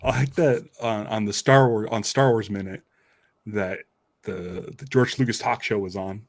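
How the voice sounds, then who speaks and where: A man's voice: fairly low pitch, steady tone, normal speed. A man hosting a podcast in casual, informal chat, telling things loosely and conversationally. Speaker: podcast host.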